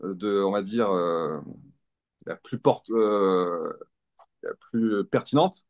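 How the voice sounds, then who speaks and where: A man's voice: low voice, slow pace, darkish tone. A man in his fifties, a physiotherapist explaining his work as an interview guest in casual talk, thinking aloud and often rephrasing as he goes. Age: 50s.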